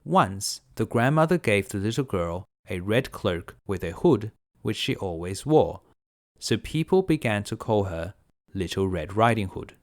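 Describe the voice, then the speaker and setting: A man narrating a children's fairy tale as a bedtime storyteller, in a measured, simple delivery. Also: on the low side, big pitch swings.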